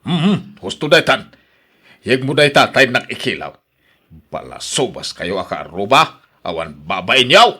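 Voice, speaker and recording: An actor playing a grumpy, stingy old husband in a radio drama, scolding and complaining with an Ilocano accent. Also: male voice, mid-range voice, big pitch swings, unhurried, sharp tone, breathy edge, exaggerated voice. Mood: angry, scolding. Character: grumpy, stingy old husband guarding his fruit trees. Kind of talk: Filipino radio drama acting.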